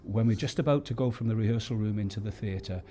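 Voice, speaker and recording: A man's voice: fairly low pitch, fast talker, dark tone. Mature man chatting over background noise. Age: middle-aged or older. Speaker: pantomime actor, award winner. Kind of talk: chatty interview answers. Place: backstage at an awards venue.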